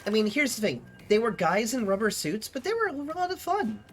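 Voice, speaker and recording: Streamer, stuffy nose, sniffly, casual rambling chat, mid pitch, faint music underneath. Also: sing-song, a little fast, fairly bright tone. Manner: chatty, rambling, trailing off mid-sentence.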